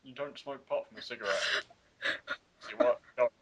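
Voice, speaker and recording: A man's voice: medium pitch, steady tone, moderate pace, highs cut off. A man bantering and reading aloud for comedy among friends, wisecracking and mock-dramatic. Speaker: player in a dramatic reading game.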